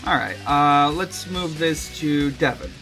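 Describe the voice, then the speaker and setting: A podcast host speaking casually and haltingly, in chat and reading fanfiction aloud. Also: medium pitch, fairly bright tone, faint music behind. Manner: hesitant, halting.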